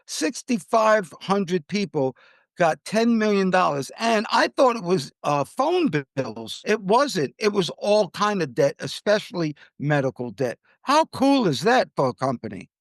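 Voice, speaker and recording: A man's voice: high-pitched, sing-song, unhurried, no echo, clean studio sound. An American man hosting a podcast, giving conversational commentary on the news. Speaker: podcast host.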